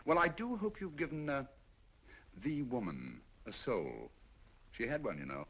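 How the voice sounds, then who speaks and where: An actor playing a cool, intellectual detective in a 1940s film, speaking in a measured, wry way. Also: male voice, mid-range voice, very animated, fairly bright tone, slightly rough, heavily acted. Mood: dry. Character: cool, precise male detective, intellectual and dry. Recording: dull lo-fi old film soundtrack.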